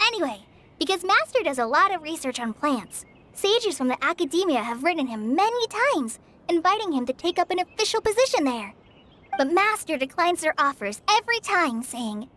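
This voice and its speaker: Shy earnest girl ranger trainee in a game, very high girlish voice, lively, kinda hesitant n polite. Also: a little hiss or hum, heavily acted. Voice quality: light, girlish voice. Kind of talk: video game voice acting.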